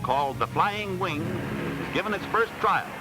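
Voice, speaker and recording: Dramatic high-pitched 1940s newsreel man. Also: animated, measured pace, music in the background, low-quality recording. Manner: dramatic, formal announcer delivery. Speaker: newsreel commentator. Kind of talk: 1940s newsreel scripted narration. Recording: vintage 1940s newsreel film soundtrack.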